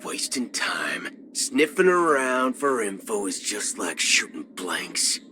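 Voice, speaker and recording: Male game gunslinger: cocky cyborg outlaw, slow US drawl, very animated pitch, music underneath. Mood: musing, wry. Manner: drawling, swaggering, folksy. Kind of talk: video game character voice acting. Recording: music behind the voice.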